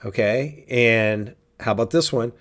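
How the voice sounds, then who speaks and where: A man with a raspy, hoarse voice from a sinus cold, hosting a casual church podcast in an easygoing, chatty way. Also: medium pitch, a little slow, fairly bright tone. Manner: easygoing, chatty, with many fillers. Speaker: podcast host.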